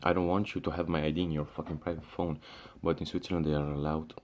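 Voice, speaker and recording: A young man from Syria rants to his camera with a very low voice. He talks casually and loosely, tripping over restarts and fillers, dropping swear words and "bro" as he goes. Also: flat delivery, fast, darkish tone. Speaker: vlogger. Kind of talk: casual ranting monologue.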